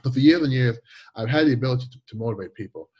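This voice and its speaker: Brief: Jamaican-accented man, 40s, husky, slight lisp, animated storyteller who restarts his words. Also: medium pitch, racing. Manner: animated, repeats words and restarts, laughs easily. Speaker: guest. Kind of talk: conversational storytelling interview.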